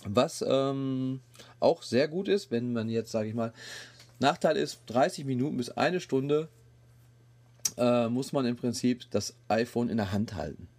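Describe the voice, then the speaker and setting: A man's voice: medium pitch, measured pace, a little mellow. A man hosting a podcast, giving a casual, colloquial and rambling review. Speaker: podcast host reviewing an app. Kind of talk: casual chat, informal review.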